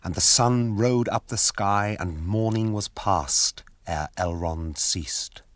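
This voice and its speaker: A man narrating a radio drama in an unhurried, literary storytelling style. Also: fairly low pitch, fairly bright tone.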